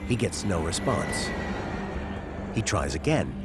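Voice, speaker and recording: A man reading scripted documentary narration in a measured, suspenseful storytelling manner. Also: sits low, sing-song. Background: background music.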